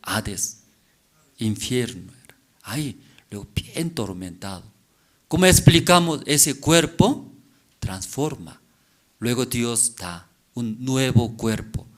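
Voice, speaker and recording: Man preaching a sermon, slow and deliberate, keeps asking the church questions, mid-pitched voice. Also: lively intonation. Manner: peppers preaching with rhetorical questions and "mm?" checks. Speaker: pastor.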